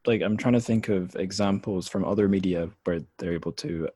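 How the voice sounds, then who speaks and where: Deep-voiced man hosting a podcast. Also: brisk, mellow, no echo, studio quality. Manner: thoughtful, hesitant, many restarts and fillers. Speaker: podcast host. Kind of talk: casual podcast interview.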